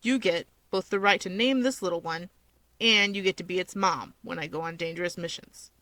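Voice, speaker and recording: A woman voicing a gruff, cocky young male wizard in a story reading, blunt and sardonic as he teases. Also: on the low side, brisk, fairly bright tone, no room sound, voice pushed down, lightly acted. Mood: bargaining. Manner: blunt, sardonic, self-assured. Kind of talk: fan fiction character reading.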